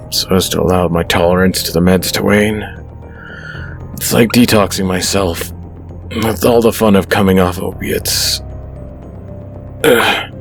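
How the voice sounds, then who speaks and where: An actor playing a weary young man gamer in chronic pain, who sighs often and confesses quietly. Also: sits low, creaky. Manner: quiet, sighing, self-critical confession.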